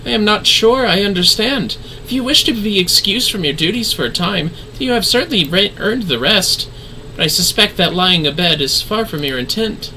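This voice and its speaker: A woman voicing a composed, gracious female leader in a fantasy game, speaking in formal, archaic, measured phrases. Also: mid-range voice, expressive, bright, forceful tone, slight background noise, low-quality recording. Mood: composed, courteous.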